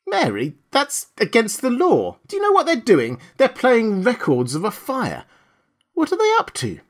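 A man's voice: fairly high pitch, sing-song, moderate pace. A man playing a startled, indignant husband in an audiobook, exclaiming in bitter disbelief. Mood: amazed, indignant. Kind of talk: dramatised audiobook.

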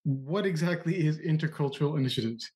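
A man's voice: on the high side, brisk, a little mellow. A man hosting a casual podcast interview, asking long, rambling questions with restarts. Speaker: podcast host.

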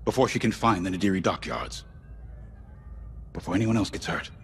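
An actor playing a middle-aged rebel commander in a video game, authoritative, measured and secretive. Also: male voice, low voice, lively intonation, soft background music. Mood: determined. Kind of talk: video game briefing dialogue.

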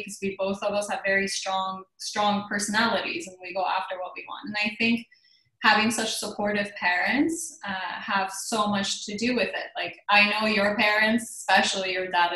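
A woman's voice: mid-range voice, pushed and bright, roomy sound, low-quality recording. A woman hosting a podcast who asks long, rambling questions full of fillers. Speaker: podcast host. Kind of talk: casual interview questions.

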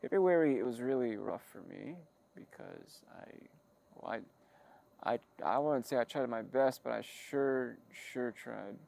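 Guy rambling into an audio log, kinda wry, stop and start, lots of vocal fry. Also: medium pitch, lively intonation, darkish tone. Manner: halting, self-interrupting, wry and figurative. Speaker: creator of an audio log channel. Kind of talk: rambling personal monologue.

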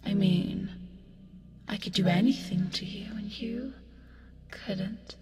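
Woman playing a slow, whispery seductive demoness. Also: mid-range voice, darkish tone, natural voice. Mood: softly menacing. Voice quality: breathy, often whispered, with creaky fry. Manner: slow, taunting, coaxing with pet names. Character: seductive, teasing female sleep paralysis demon, creepy yet soothing. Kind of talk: ASMR roleplay, hypnotic bedtime delivery. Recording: long reverb, music behind. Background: music.

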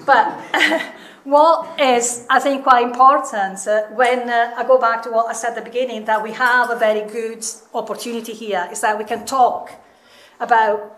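A middle-aged woman with a Southern European accent addresses a seminar in a candid, emphatic way. Her voice sits fairly high. She speaks at a stand mic in a room with a strong echo. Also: fairly bright tone. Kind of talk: informal public talk. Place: indoor seminar room with seated audience. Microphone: microphone on a stand. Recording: echoey room, some distance from mic.

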